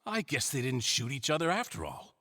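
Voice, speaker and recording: An actor playing a suave, composed accused gentleman in a video game courtroom drama, polite and unruffled. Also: mid-range voice, big pitch swings, a little fast, slight background noise. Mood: smug calm. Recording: long hall reverb.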